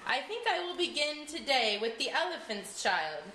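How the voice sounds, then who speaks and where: A woman's voice: high voice, big pitch swings, normal speed, pushed and bright, roomy sound, slight background noise, heavily acted. A woman voicing a sly storybook crocodile that coaxes and menaces its small prey. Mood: menacing.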